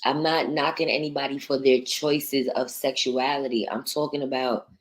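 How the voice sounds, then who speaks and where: A woman from New York with a naturally deep voice hosts a casual livestream. She talks bluntly and swears freely, reading viewer comments aloud and answering them in an easy conversational flow. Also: fairly even intonation, moderate pace, rough recording. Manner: blunt, profane and outspoken. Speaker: livestream host.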